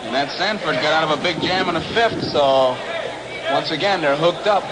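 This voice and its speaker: A man's voice: on the high side, moderate pace, over a noisy crowd, heavy background noise. An American man giving color commentary on a 1960s radio baseball broadcast from an outdoor ballpark.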